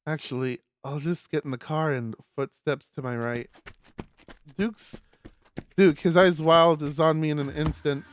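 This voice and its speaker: A man streaming a live read-aloud of a visual novel, expressive, with stumbles and casual asides. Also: on the high side, a little slow, slight background noise. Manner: expressive, stumbles over words while reading.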